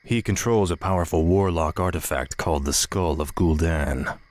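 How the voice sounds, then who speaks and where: A man voicing a cold, cunning human dark knight in a game cinematic, taunting and persuasive in a measured way. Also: deep voice, close to an everyday voice. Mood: sly. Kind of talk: video game cinematic acting.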